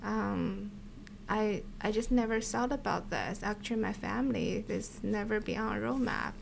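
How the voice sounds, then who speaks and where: A woman's voice: medium pitch, normal speed, vocal fry, a little hiss or hum. A middle-aged woman from China, a scientist chatting casually in an interview, laughing often and repeating words. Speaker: scientist and podcast guest. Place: her office. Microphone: desk mic.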